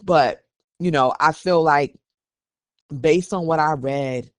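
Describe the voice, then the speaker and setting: A man's voice: on the high side, slow, fairly bright tone, smooth, no room sound, studio quality. A man hosting a podcast, reading documents aloud and giving opinionated, conversational commentary. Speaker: podcast host.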